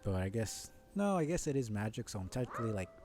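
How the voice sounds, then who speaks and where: A man giving casual live commentary on a video game, musing and muttering to himself in an unhurried way as he thinks aloud. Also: on the low side, very animated, over loud music.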